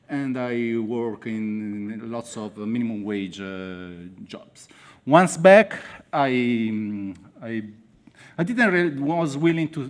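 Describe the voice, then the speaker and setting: Italian man in halting broken English. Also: mid-range voice. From Italy. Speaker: author on a panel. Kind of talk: personal account to a festival audience.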